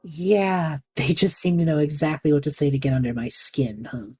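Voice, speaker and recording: A woman's voice: deep voice, normal speed, warm tone, slightly rough, soft background music. A woman playing a brash, sarcastic young wanderer in an audio drama, talking casually. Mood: resigned. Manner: casual, flippant. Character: brash, sarcastic young wanderer named Trevor, warm toward kids.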